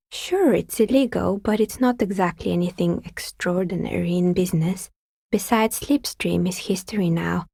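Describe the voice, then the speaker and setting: Wry female artisan, game character, husky and creaky, measured, matter-of-fact delivery. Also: lowish voice, a little mellow, no echo, studio quality. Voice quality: husky, breathy with some creak. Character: wry, pragmatic woman craftsperson, a novelty dicemaker. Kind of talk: video game character dialogue.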